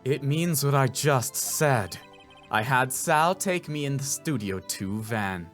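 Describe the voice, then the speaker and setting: A man's voice: mid-range voice, lively intonation, takes it slowly, a bit bright. Game witness played by a man, irritable, curt and dismissive, middle register, music underneath. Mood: curt, weary.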